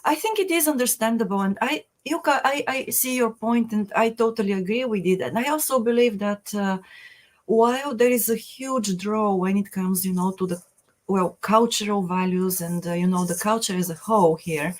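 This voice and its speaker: A woman's voice: medium pitch, moderate pace. Woman panelist, mid-range voice, agreeable and earnest, with a filler-heavy delivery. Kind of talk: discussion panel.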